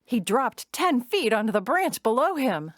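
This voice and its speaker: A woman narrating a scripted children's story in an expressive, measured storytelling manner. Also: on the high side, slight background noise.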